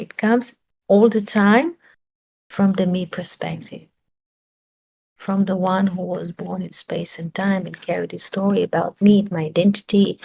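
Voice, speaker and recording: A woman's voice: fairly low pitch, creaky, dark tone, a little hiss or hum, low-quality recording. Woman giving a searching spiritual talk. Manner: searching for words, rhetorical questions. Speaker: spiritual teacher.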